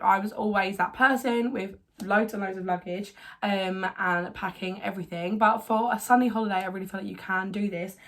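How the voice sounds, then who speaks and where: A young woman talks chattily to a camera on a tripod at home, her voice hoarse as she gets over a cold. She rambles along with plenty of ums and likes, in the loose tone of a casual vlog. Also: medium pitch, fairly bright tone. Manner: chatty, rambling, filler-heavy. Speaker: vlogger.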